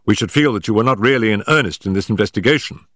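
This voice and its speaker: A commanding detective with a crisp, emphatic, assured delivery, put on by a man reading a story aloud. The voice sits well above the reader's own deep register and lands each point firmly, with a dry close sound and no room around it. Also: fast, a bit bright, no room sound. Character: sharp, commanding male detective, middle-aged.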